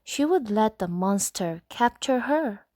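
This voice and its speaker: A woman gently and expressively reading a storybook aloud in a soft bedtime style. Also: mid-range voice, lively intonation, measured pace, no echo, studio quality.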